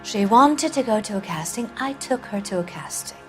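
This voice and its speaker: A woman's voice: medium pitch, animated, normal speed, a little mellow, faint music behind, natural voice. A woman playing a vain, brassy, evasive American film actress of the 1940s in a video game drama, with a haughty, teasing, theatrical delivery. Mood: evasive, dismissive.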